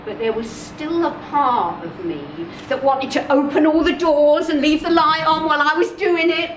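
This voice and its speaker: A woman giving an expressive, deliberate dramatic reading of a first-person short story, with measured pauses. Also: high voice. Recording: roomy, distant mic, clear background noise.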